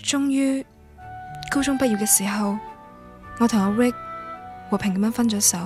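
An actress as a young female student with a fairly high voice and Guangdong Cantonese accent, narrating a radio drama. She is wistful and literary, reflecting on first love over a music bed. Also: moderate pace, close to an everyday voice.

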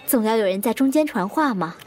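An actress playing a composed, probing woman investigator in an audio drama, measured and introspective as she recalls a fateful case. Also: fairly high pitch, lively intonation, darkish tone, close to an everyday voice. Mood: calm, firm. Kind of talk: audio drama narration and dialogue. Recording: echoey long reverb, music behind.

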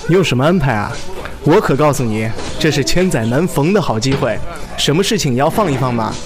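A man's voice: medium pitch, expressive, fairly quick, lightly acted. A man acting a persuasive, businesslike music director in a radio drama. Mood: encouraging, pressing. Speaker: actor in an audio drama.

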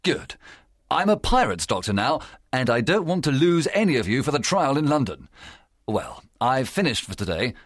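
A man voicing a stern, principled gentleman doctor in an audiobook. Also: medium pitch, sing-song, moderate pace, dry recording, clean studio sound, voice pushed up. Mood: brisk. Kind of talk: audiobook character acting.

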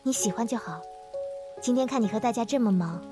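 A woman acting as a shy young secretary in a radio drama. She is soft, earnest and hesitant, with a fairly high voice. Music plays clearly behind her. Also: brisk, lightly acted. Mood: eager, sweet. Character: shy, insecure young female secretary, eager and tender. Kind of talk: Mandarin audio drama acting.

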